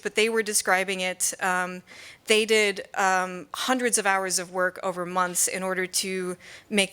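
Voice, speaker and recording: An American woman in her thirties speaks into a stand microphone at an indoor public talk. Her voice is bright and mid-pitched, and she presents in an informal, modest way. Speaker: librarian presenting a project. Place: indoor stage at a library event.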